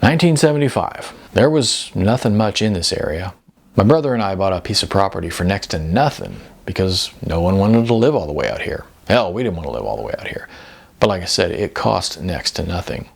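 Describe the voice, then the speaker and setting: A man's voice: low voice, animated, fairly quick, gravelly, slightly rough, heavily acted. A man voicing a laid-back, world-weary older man in an audiobook, laconic and easygoing with some hesitation. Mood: resigned. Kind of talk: audiobook character dialogue.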